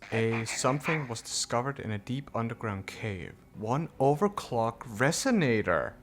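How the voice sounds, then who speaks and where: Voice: medium pitch, very animated, moderate pace. A gamer giving casual, playful playthrough commentary and reading game text aloud. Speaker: gaming video creator.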